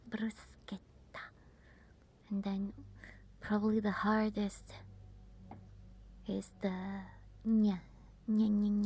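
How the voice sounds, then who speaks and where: A woman with an Italian accent, softly whispering while chatting with viewers on an ASMR live stream. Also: medium pitch, breathy edge. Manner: soft whispering.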